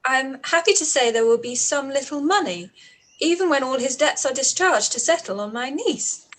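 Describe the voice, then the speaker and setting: A woman's voice: sits high, lively intonation, normal speed, a bit bright. A woman playing an earnest, outspoken young Regency woman in a period radio play, with formal diction. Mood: reading aloud, surprised. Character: Lizzy, anguished, outspoken young Englishwoman of Regency times.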